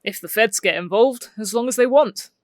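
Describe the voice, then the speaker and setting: An actress playing a blunt, cynical teenager in an audio drama. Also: female voice, fairly high pitch, moderate pace, no room sound, studio quality, natural voice. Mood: sardonic.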